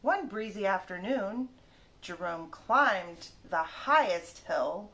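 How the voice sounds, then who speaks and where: A woman's voice: medium pitch, expressive, fairly bright tone, poor audio. A woman in her thirties reading a children's picture book aloud like a teacher, stressing words carefully one by one. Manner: careful, drawn-out word-by-word emphasis. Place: small living room, indoors.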